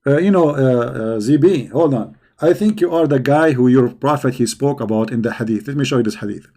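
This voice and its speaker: Man, gravelly creaky voice, live-stream debate host, combative, mocking, repeats words mid-sentence. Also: medium pitch, brisk, dark tone. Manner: combative and mocking toward questioners; repeats words while searching for phrasing. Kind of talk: live-stream commentary answering viewers.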